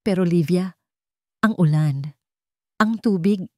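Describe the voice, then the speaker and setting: A woman's voice: on the low side, takes it slowly, darkish tone, no room sound. Lady reading as a scared desperate dad in a drama story, shaken and pleading, dry close sound. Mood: worried.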